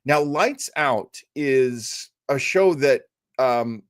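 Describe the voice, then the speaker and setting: A man's voice: medium pitch, expressive, drawn-out words, smooth, dry recording, clean studio sound. An older man hosting an old-time radio show in a casual livestream chat, rambling, effusive and self-deprecating.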